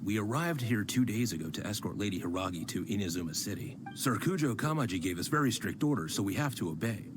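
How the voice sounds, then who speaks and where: A man's voice: on the low side, noisy background. An actor voicing a polite but firm soldier in a video game, formal and dutiful in his courtesy. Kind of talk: game voice acting.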